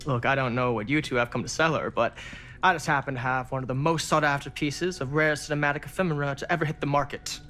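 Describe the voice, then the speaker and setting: A man's voice: mid-range voice, quick pace, pushed and bright, natural voice. An actor playing a smug, wordy, hard-drinking male dealer in a cinematic video game. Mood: smug, impatient. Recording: music under the voice.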